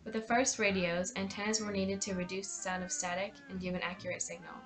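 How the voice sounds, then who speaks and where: Quick-reading young woman narrator over music. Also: medium pitch, flat delivery. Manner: reading from a script. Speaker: narrator of student history project. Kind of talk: scripted documentary narration.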